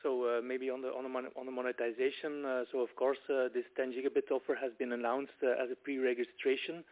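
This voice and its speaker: A male executive gives explanatory answers on a business conference call. His pitch stays very level and his phrasing is measured, with small hesitations. The audio is dull and band-limited, like a telephone line. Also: little pitch movement. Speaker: company executive answering analysts on an earnings call.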